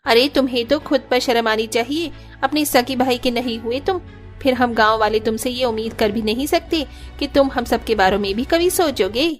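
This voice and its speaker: A woman playing an indignant villager in a cartoon story, scolding forcefully. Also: a little high, normal speed, faint music behind, lightly acted.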